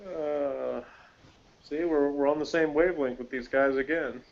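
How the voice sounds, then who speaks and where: A man's voice: a little high, steady tone, measured pace, creaky, lo-fi sound. A man co-hosting a show in casual conversation, rambling along in an easygoing way. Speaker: podcast co-host.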